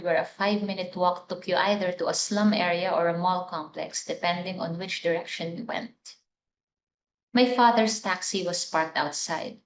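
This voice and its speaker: A woman reads her own writing in a fairly low voice. The sound is noticeably roomy and echoey, as in a literary reading. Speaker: writer reading own short story. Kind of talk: literary reading of prepared text.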